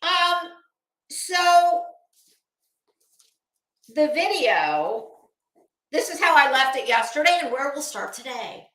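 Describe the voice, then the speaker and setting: A middle-aged woman with a fairly high, very bright voice chats casually to her livestream viewers. She rambles in a gossipy, sarcastic manner, and the room around her is noticeably echoey. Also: very animated, slow, long pauses. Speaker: livestream host. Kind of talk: casual livestream commentary chat.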